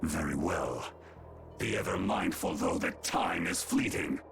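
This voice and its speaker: A man voicing an ancient, stern, imperious creature in a video game, with gravelly, formal, solemn speech. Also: low voice, measured pace, noisy background, exaggerated voice. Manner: formal, solemn, archaic phrasing. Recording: game music behind the voice.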